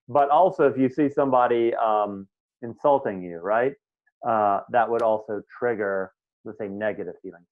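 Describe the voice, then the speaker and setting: Guy teaching meditation on a call, chatty and easygoing, sounds thin like a phone. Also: mid-range voice. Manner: relaxed, conversational, checks in with students often. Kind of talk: live online class teaching. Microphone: video call. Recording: tinny phone-like line.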